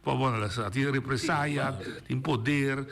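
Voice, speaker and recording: A man hosting a radio call-in discussion, talking in an animated, emphatic way with many restarts. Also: medium pitch, a little slow, creaky. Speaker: radio host. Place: radio studio.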